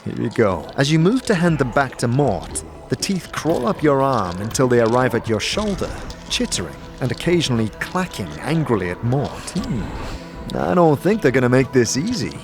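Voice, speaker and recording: Guy as dry teasing adventurer narrating a fantasy audio drama, very expressive medium-pitched voice, music underneath. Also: normal speed. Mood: wary, coaxing. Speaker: narrator and game character.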